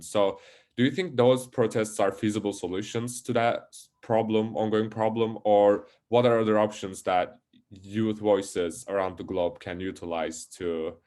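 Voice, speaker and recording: A young man from Turkey asks a long question in an interview. His voice is fairly low, and he hesitates now and then with fillers. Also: a little mellow. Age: young adult.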